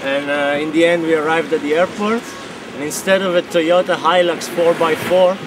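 A man's voice: fairly high pitch, steady tone, moderate pace, recorded from a distance, noisy background. A man in his thirties on an expedition crew, telling the story frankly in lightly broken English. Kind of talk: documentary narration and field talk.

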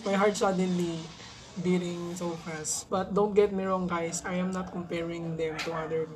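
A young Filipino woman whose first language is Tagalog, speaking careful English with a Filipino accent as she reacts excitedly to a music video in a small room. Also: lowish voice, normal speed, background noise. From Philippines. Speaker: YouTube reactor. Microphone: headset, facing camera.

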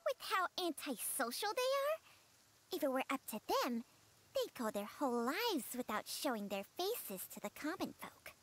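Haughty serpent spirit, dry wit, high and animated. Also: female voice, normal speed. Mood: sardonic. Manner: haughty, sardonic.

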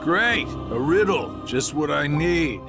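An actor playing a sly, cocky middle-aged villain in a video game, with a sarcastic, evasive drawl. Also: male voice, fairly high pitch, lively intonation, slow pace, over music. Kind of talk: cinematic video game voice acting.

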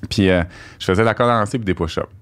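Fast-talking Québécois man, low voice. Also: clean tone. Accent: Québécois French. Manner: animated storyteller, acts out quoted dialogue. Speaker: podcast guest. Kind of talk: casual storytelling. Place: podcast studio. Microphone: studio mic on boom arm.

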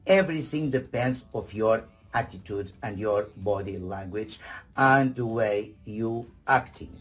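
A middle-aged man giving etiquette advice in a husky voice, theatrical and emphatic, often repeating phrases. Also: on the low side, measured pace. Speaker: etiquette host. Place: furnished sitting room indoors.

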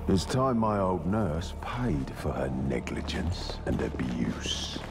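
An actor playing a cold, calculating Victorian killer in a video game, speaking low and deliberately. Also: male voice, sing-song, vocal fry, background noise, exaggerated voice. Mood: menacing. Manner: low, deliberate, sinister muttering. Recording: game audio under loud street ambience.

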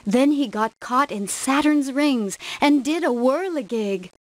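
A woman narrating a rhyming children's story from a script in measured, rhythmic verse. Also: high-pitched, expressive, no room sound.

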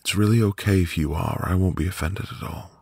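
A man playing a sweet, reassuring newlywed husband in an intimate ASMR roleplay, with a gravelly, breathy voice and a gentle manner. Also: low-pitched, no room sound, heavily acted. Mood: gentle, admiring. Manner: slow, gentle, soothing. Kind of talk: ASMR boyfriend roleplay, intimate soft delivery.

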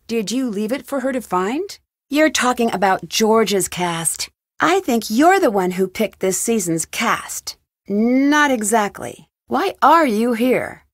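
A woman's voice: on the high side, lively intonation, slow pace, no echo, studio quality. An actress voicing a curious, composed young female detective in a video game, asking polite but pointed questions. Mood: inquisitive, composed.

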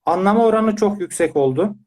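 A man's voice: high voice, animated, brisk, a little mellow. A man speaking as a parent, giving a conversational testimonial in an interview.

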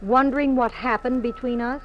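A woman's voice: on the high side, fairly even intonation, moderate pace, close to an everyday voice. A muffled, faintly noisy old radio recording of a woman acting as a lady crook. She is coy and taunting. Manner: coy, teasing. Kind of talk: 1950s radio mystery acting.

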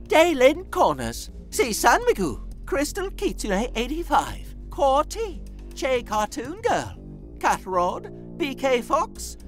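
Sweet, high, very animated cartoon sister. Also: female voice, slow, soft background music, heavily acted. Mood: cheerful, whimsical. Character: sweet, whimsical, chatty sister of a cartoon mobster. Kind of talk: cartoon audio drama acting.

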